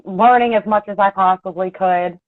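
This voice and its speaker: A woman's voice: medium pitch, steady tone, normal speed, no room sound. A woman with a Philadelphia accent, a bit of North Jersey mixed in, talks bluntly and casually as a guest. She laughs easily. Her voice comes through a thin, phone-like call line with a completely dry sound. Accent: Philadelphia with some North Jersey. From Philadelphia area, USA. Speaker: guest, auto body and paint tech. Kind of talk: casual interview chat. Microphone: video call. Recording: tinny dry call audio.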